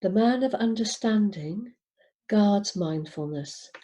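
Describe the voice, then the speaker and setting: A woman reading scripture aloud in a measured, deliberate way, pausing between short phrases. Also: medium pitch, animated, dark tone, a little hiss or hum. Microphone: video call over Zoom. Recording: dull, lo-fi video call.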